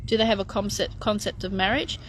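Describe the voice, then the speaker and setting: A woman's voice: mid-range voice, steady tone, a little fast, slight background noise. A middle-aged woman chatting frankly as she answers viewer questions, with lots of fillers and restarts. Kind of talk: casual live Q&A.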